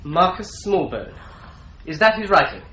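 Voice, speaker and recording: A man's voice: high-pitched, big pitch swings, unhurried, pushed and bright, echoey room, slight background noise, heavily acted. An actor playing an obliging, wry young male office clerk in a radio drama, polite and faintly pompous. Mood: strained. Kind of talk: 1950s-style BBC radio drama.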